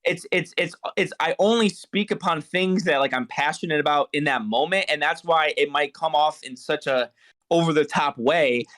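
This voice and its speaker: Young guy on a call, kinda high voice, rambles n swears a lot, keeps restarting. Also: moderate pace, a bit bright. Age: young adult. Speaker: guest. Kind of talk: casual chat on a call. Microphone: video call.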